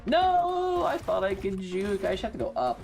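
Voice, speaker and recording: A woman's voice: mid-range voice, big pitch swings, moderate pace, a little mellow, faint music behind. A woman live-streaming a video game, chatting casually with slangy, sweary exclamations. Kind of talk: casual gaming stream commentary.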